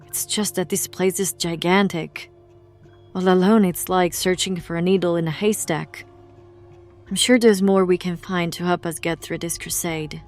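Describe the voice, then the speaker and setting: A woman's voice: on the low side, fairly even intonation, normal speed, a little mellow, faint music behind, natural voice. A woman playing a grave, knowing investigator of the occult who explains things in formal words in an audio drama. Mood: resolute.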